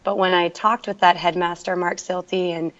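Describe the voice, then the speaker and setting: Guest: woman teacher, lowish voice, earnest storyteller, slightly muffled remote line. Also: flat delivery, moderate pace. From Canada. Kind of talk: conversational interview. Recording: dull lo-fi remote audio.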